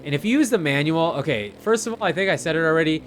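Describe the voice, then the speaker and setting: A man with a somewhat high voice talks viewers through gameplay in a loose, chatty way. His commentary rambles informally, as if talking straight to friends watching. A faint layer of noise sits behind him. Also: expressive, fast talker. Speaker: gamer narrating gameplay.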